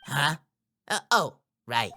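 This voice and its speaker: A man's voice: on the high side, sing-song, slow pace, bright, forceful tone, full character voice. A man voicing a young boy witness in a video game, nervous, defensive and prone to stammering. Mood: flustered.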